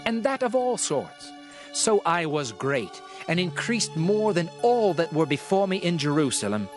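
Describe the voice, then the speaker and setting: An actor playing a world-weary, reflective king called the Preacher in a dramatized scripture reading, solemn, measured and declamatory. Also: fairly high pitch.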